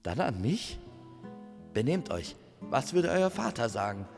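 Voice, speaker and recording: Honourable young angel suitor, acted by a male narrator, earnest and apologetic, lively pitch, soft music bed. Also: measured pace, vocal fry, in a large hall. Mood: flustered, reluctant.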